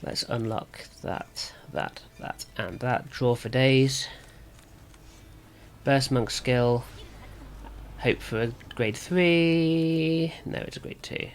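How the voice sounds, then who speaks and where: Man with a sore, slightly rough voice. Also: medium pitch, takes it slowly. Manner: thinking aloud. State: sore throat. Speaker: gaming video host. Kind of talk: casual card game commentary.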